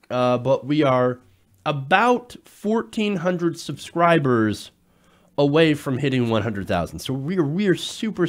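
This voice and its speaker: A man hosting a casual political talk show, sounding nasal while getting over a cold, chatty and loose, with many filler sounds. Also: medium pitch. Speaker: show host.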